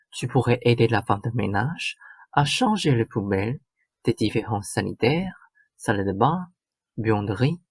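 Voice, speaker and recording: Man acting as domineering stepmother, husky voice, curt, lecturing, dry close recording, radio drama. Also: medium pitch, dry recording, exaggerated voice. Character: domineering, short-tempered stepmother laying down the law.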